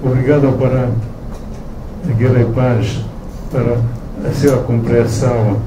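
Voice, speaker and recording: A man with a Romanian accent speaks slowly and haltingly, restarting phrases as he searches for words in a language he mostly reads. His voice sits at a medium pitch. He is recorded from a distance in a reverberant event room with steady background noise. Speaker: author at a book launch. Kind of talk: halting thank-you speech. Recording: echoey room, distant mic, noisy.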